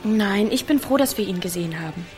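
A woman's voice: medium pitch, animated, a little fast, darkish tone, faint music behind, close to an everyday voice. A woman playing an uneasy, reserved teenage village girl in a naturalistic radio drama, giving terse, hesitant replies. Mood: uneasy, defensive.

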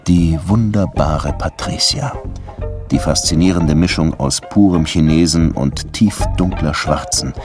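A man's voice: very deep voice, a little slow. A man playing a world-weary police detective from Cuba, narrating his case in a radio drama. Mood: wry, reflective. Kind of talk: radio play narration and dialogue. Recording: music under the voice.

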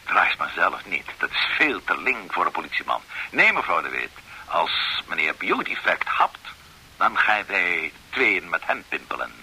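Low-voiced sly schemer. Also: male voice, sing-song, a little slow, pushed and bright, husky, heavily acted, low-quality recording. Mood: confiding. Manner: confiding, slightly theatrical explaining. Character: sly middle-aged private schemer, genial. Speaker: investigator. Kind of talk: radio drama acting.

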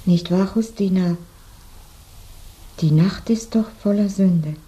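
A woman acting in a German radio play as a grieving, sensual dead woman, murmuring dreamily with a breathy half-whisper. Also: medium pitch, slow, dark tone. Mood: confiding.

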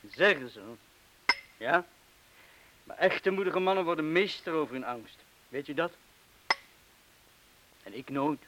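A man's voice: on the high side, animated, measured pace, pauses often. An actor playing a self-doubting wartime bomb disposal man, confessing his cowardice in a colloquial, bitterly self-deprecating way, as in a radio play. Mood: bitter confession. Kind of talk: Dutch radio play acting. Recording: hissy old radio play recording.

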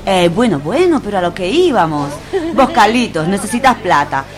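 A woman's voice: mid-range voice, very animated, normal speed, over music, natural voice. A woman playing a practical, chummy Latin American market friend in a radio soap.

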